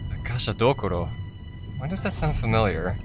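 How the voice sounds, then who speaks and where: An actor playing a curious, brainy young student in an audio drama, inquisitive, eager and excitable. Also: medium pitch, a little fast, music in the background, close to an everyday voice. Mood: curious, startled.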